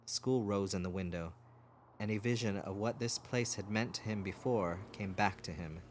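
A man's voice: fairly low pitch, moderate pace, slight background noise. A man reading fiction aloud as a scripted story narrator. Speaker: audiobook narrator.

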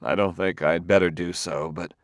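Man playing a low-voiced, weary, dogged investigator. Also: fairly even intonation, moderate pace, no echo, natural voice. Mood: gentle, reassuring. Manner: blunt, resigned, earnest. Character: weary, dogged male investigator from Earth, troubled but tender.

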